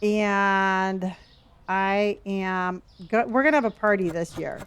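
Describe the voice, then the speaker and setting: A woman's voice: mid-range voice, takes it slowly, clean tone. Chatty sixty-something woman streamer. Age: 60s. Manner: chatty, reads chat aloud, trails off and restarts. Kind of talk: casual live-stream chat with viewers.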